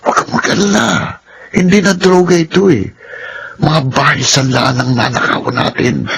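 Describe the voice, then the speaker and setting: A pushy ex-con tempter. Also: male voice, fairly high pitch, expressive, normal speed, a bit bright, breathy edge, exaggerated voice. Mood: coaxing. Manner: coaxing, pushy. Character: tempting ex-convict friend turned thief. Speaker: radio drama actor. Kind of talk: classic radio drama acting.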